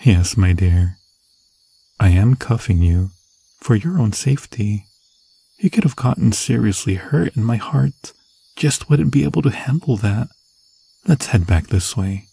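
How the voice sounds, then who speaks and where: Low-voiced possessive pirate played by a man. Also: lively intonation, a little slow, creaky, dark tone, breathy edge, dry recording, full character voice. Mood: doting, possessive. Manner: coaxing, theatrical endearments. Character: obsessed male pirate captor, roguish, doting, possessive. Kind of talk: ASMR fantasy roleplay acting.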